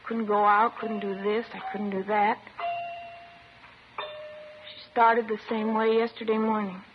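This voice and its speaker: A woman acts as a childlike, sullen schoolgirl in a vintage radio crime drama. Her middling-pitched voice is petulant and detached, defensive toward police. The old recording is somewhat muffled with light hiss. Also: flat delivery, slow, close to an everyday voice. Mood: flat confession.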